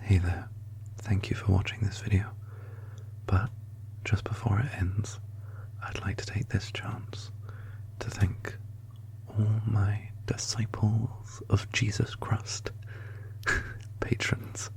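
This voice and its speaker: A man speaking in a soft, breathy whisper as a channel creator, giving an ASMR-style outro. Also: on the low side, little pitch movement, slow pace, long pauses, poor audio.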